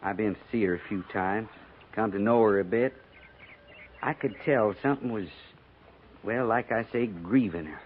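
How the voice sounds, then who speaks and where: Actor as folksy army sergeant, tinny radio. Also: male voice, fairly low pitch, normal speed, pauses often, lightly acted. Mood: earnest, reproachful. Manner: folksy, hesitant, respectful. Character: plain-spoken, loyal, soft-hearted frontier army sergeant. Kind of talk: 1950s western radio drama acting. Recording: tinny, faintly noisy 1950s radio recording.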